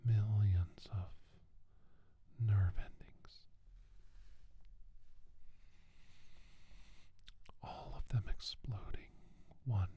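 A man playing a traumatised doctor testifying about his alien abduction in an intense, halting ASMR monologue broken by long pauses. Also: fairly low pitch, steady tone, lo-fi sound. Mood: tense, haunted. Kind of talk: whispered ASMR dramatic monologue.

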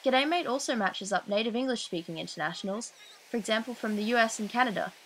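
A woman's voice: a little high, fast talker, slight background noise, poor audio. A young woman student presenting a program in an upbeat, scripted voice-over. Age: young adult. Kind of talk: scripted promotional narration. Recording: voice-over with clear background music.

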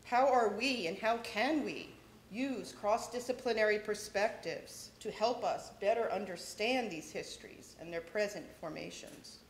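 A middle-aged woman at a podium giving a measured, formal welcome speech read from notes. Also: medium pitch, slight background noise. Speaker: event organizer introducing speakers. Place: large lecture hall, at a podium. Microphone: podium microphone. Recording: echoey hall.

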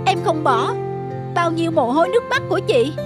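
A loyal young cartoon peddler, insistent and emotional. The voice is high and animated, set over loud music. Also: female voice, a little fast. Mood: defiant, upset. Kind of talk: cartoon dubbing.

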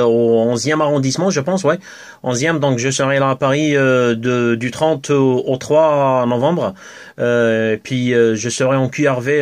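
A man at a radio desk talks as an amateur operator in a casual exchange of call signs. His phrasing is halting, with words repeated as he goes. His pitch sits in a middle male range.

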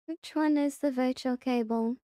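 A woman with a high, mellow voice narrates a casual tutorial. She goes through it step by step without hurry, sometimes thinking aloud. The sound is close and dry. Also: steady tone, moderate pace, no echo. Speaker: tutorial presenter.

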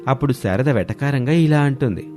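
A man's voice: medium pitch, fairly quick, warm tone. A man narrating a scripted family story as its storyteller. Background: music.

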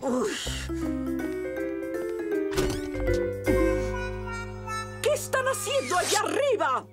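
A woman's voice: very high-pitched, sing-song, unhurried, full character voice. A woman voicing a stern, grumpy, exasperated school principal in a Spanish-dubbed children's cartoon. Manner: strict, exasperated. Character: stern, grumpy female rabbit school principal, never smiling. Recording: music behind the voice.

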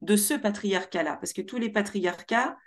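A young woman from Paris with Martinican roots, speaking as a guest in an animated interview over a video call. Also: medium pitch, moderate pace. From Martinique heritage, born in Paris. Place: small room at home.

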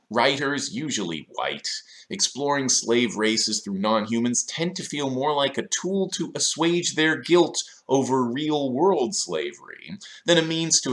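A man's voice: medium pitch, a bit bright. A man in his thirties delivering scripted video essay commentary in a sardonic, questioning manner, recorded in a small room.

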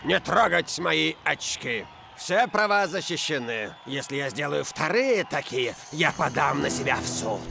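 A hammy, self-adoring football star from a cartoon. The voice is high and unhurried, with a creaky edge. He boasts theatrically, as if every word were a gift. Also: animated, noisy background, heavily acted. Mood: smug. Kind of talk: animated cartoon dub. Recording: music behind the voice.

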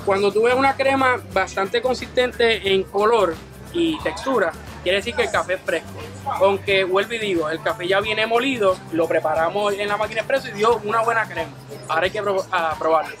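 A young man working as a barista, calmly explaining coffee in a small coffee shop. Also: high voice, a little fast, music in the background. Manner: calm, explanatory, informative. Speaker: professional barista. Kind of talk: casual explanation.

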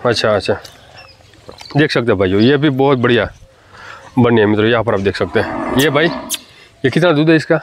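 A man hosting a farm video, eagerly asking a farmer questions outdoors on a dairy farm and murmuring quick agreement as he listens. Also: medium pitch, animated, a little hiss or hum. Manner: eager, repeats "ji ji ji" softly while listening. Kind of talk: on-site interview about dairy animals.

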